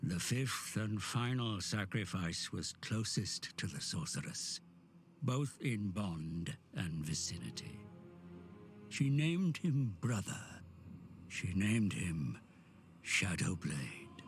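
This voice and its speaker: A man narrates in a low, unhurried voice, grave and measured like a cutscene storyteller. He drops now and then into hushed, whispered phrases. Loud orchestral music plays behind his voice. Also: very animated. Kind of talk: scripted dramatic narration. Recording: studio narration over loud orchestral score.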